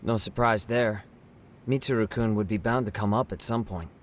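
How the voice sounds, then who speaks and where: A man's voice: fairly low pitch, normal speed, slight background noise, lightly acted. An actor playing an easygoing, curious male sidekick in a video game, talking casually and asking questions.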